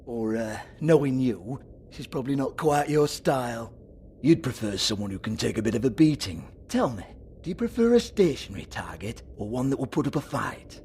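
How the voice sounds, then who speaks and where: An actor playing a sleazy, foul-mouthed strip club owner with a British accent in a video game, mocking and volatile. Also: male voice, mid-range voice, very animated, moderate pace, a little mellow, a little hiss or hum. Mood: sly, insinuating. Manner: sneering, taunting, swearing.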